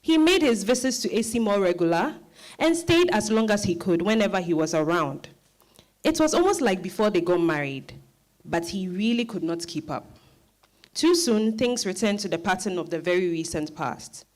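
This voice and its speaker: A woman narrating a dramatized story as an expressive storyteller. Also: mid-range voice, moderate pace.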